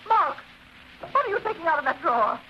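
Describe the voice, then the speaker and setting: A woman's voice: very high voice, big pitch swings, a little fast. A woman playing an anxious, earnest figure in a family mystery, pleading dramatically in old-time radio style. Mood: fearful. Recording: muffled, noisy 1940s radio recording.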